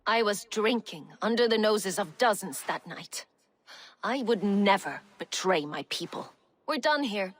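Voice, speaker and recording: A woman's voice: medium pitch, expressive, unhurried, no echo. An actress playing a defiant female Viking warrior in a video game, with a blunt, terse manner. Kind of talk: video game cutscene acting.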